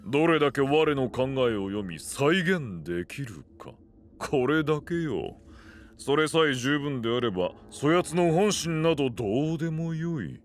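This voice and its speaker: An actor playing a cold, imperious warlord in a voice drama, speaking in a haughty, commanding manner. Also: male voice, mid-range voice, very animated, a little slow. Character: imperious, cold warlord who rules from the top. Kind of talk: Japanese voice drama acting.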